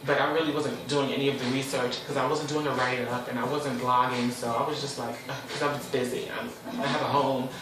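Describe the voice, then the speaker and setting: A chatty man in his twenties hosts his own show and talks things through at length, now and then breaking into sudden high squeals. His voice sits at an ordinary male pitch. He is recorded a little away from the mic in a very echoey room. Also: little pitch movement, fairly quick, hardly any pauses, recorded from a distance. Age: 20s. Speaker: host. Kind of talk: casual solo talk show monologue.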